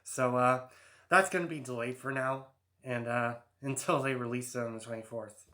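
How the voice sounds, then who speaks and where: A man's voice: mid-range voice, fairly even intonation, normal speed, sharp tone. A man in his early thirties, hosting a casual album ranking, rambling and hesitant with many fillers and restarts. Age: 30s. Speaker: YouTube host.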